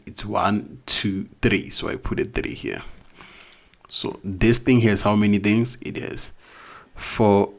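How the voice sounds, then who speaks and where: A man with a non-native English accent, teaching a recorded math lesson step by step, asking questions and answering them himself. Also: fairly low pitch. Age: adult, not young.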